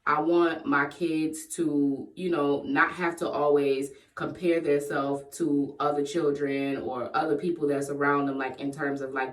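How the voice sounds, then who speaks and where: Playful woman vlogger, echoey and distant. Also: low-pitched. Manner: playful, laughs often, breaks into sung bits. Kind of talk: casual Q&A vlog. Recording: echoey room, voice far from the mic.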